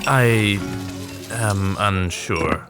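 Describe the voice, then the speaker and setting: A man's voice: lowish voice, drawn-out words. A man playing a noble, composed knight commander in a fantasy audio drama, formal and reserved. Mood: reserved, polite. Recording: voice over background music.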